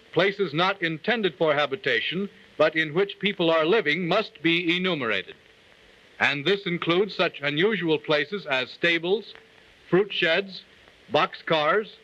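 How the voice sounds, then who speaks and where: Bright, formal 1940s film narrator, male. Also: a little high. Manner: formal, declamatory, measured. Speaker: narrator of a government training film. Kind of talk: 1940s scripted instructional narration. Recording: dull, lo-fi 1940 film soundtrack.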